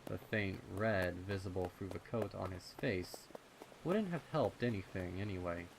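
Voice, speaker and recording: A man narrating a dark story in a measured, deliberate, scripted style. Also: deep voice, noisy background. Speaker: fan fiction narrator. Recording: clear night-insect ambience behind. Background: crickets and night insects.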